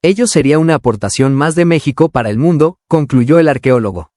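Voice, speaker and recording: A man's voice: a little high, lively intonation, a little fast, mellow, dry recording, clean studio sound, soft background music. A man reading scripted educational narration as an audiobook narrator.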